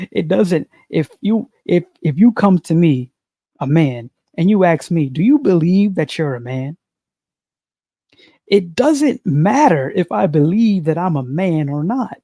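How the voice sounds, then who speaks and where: Man, spiritual monologue; unhurried, repetitive, sermon-like; warm tone, dry room. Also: on the high side, dry recording. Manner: slow, preachy, repeats phrases, frequent "you see". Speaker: spiritual commentator. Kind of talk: unscripted monologue on philosophy.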